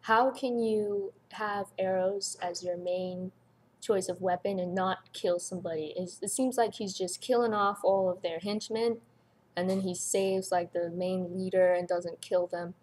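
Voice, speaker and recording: A woman with a husky, slightly rough voice gives casual commentary. She makes her points emphatically, with an incredulous edge, and tends to repeat them. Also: on the low side, steady tone, unhurried, darkish tone. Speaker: co-commentator on a review show. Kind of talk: casual chat.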